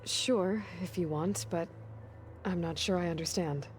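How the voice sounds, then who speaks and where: An actress playing a level-headed, guarded young heroine in a video game, with a dry, terse, practical delivery. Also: female voice, on the low side, a little slow, a little mellow, faint music behind, close to an everyday voice. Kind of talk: naturalistic video game acting.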